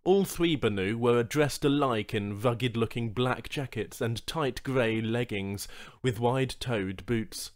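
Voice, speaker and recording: A man's voice: medium pitch, measured pace. A man narrating a scripted short story as an audiobook reader.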